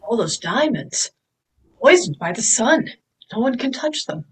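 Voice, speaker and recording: A woman playing a nervous, awestruck young male mechanic trainee in a drama table read, hesitant and halting. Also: on the high side, sing-song, a bit bright, breathy edge, exaggerated voice. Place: online video call table read.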